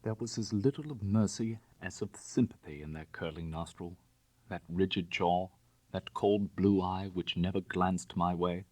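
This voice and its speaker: A man's voice: low-pitched, measured pace, darkish tone, dry recording. A man narrating literary fiction in the first person, in a dramatic storytelling style. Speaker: audiobook narrator.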